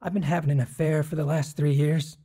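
An actor playing a dying man in his thirties in a radio drama, halting and burdened with guilt as he confesses. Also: fairly high pitch, level tone, mellow, slightly rough. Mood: anguished. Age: 30s.

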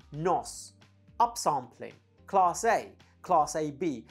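A woman's voice: low voice, darkish tone, husky. A woman giving a casual, opinionated gear review, speaking emphatically as a reviewer. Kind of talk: casual product review talk.

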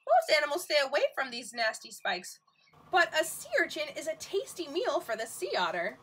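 A woman teaching nursery school, reading a picture book aloud to young children in an expressive, questioning manner, with exclamations. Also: sits high, moderate pace.

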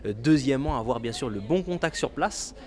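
A man's voice: medium pitch, expressive, brisk. A man in his forties, a business speaker, answering an interview and explaining point by point. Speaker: conference speaker and entrepreneur. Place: large hotel conference hall. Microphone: handheld reporter microphone.

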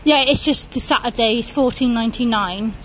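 A woman's voice: fairly high pitch, a little fast, fairly bright tone. A woman with a Welsh accent, a mother chatting and coaxing her child while filming a casual vlog on a handheld phone in a restaurant. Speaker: vlogger, mother. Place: indoor buffet restaurant. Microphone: handheld phone camera. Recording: noisy restaurant ambience.

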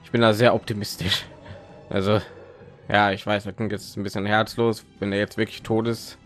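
A man's voice: fairly low pitch, little pitch movement, normal speed, faint music behind. A man giving casual let's play commentary, thinking aloud and rambling, with phlegm in his throat.

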